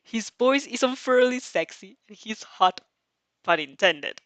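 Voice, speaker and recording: A woman reading fan fiction aloud in an expressive way, breaking off for casual reactions and restarts. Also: a little high, a little slow, dry recording.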